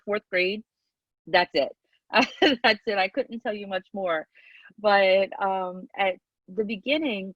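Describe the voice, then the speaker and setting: A woman's voice: medium pitch, no room sound, dull audio. Chatty Southern New Jersey woman. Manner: chatty, laughs often, restarts. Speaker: guest speaker. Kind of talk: casual talk, online presentation. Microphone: video call.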